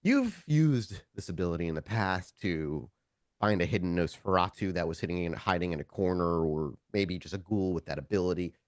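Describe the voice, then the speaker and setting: A man's voice: deep voice, expressive, fairly quick, no echo, clean studio sound. A man narrating a tabletop roleplaying game as game master, conversational and informal, with descriptive storytelling.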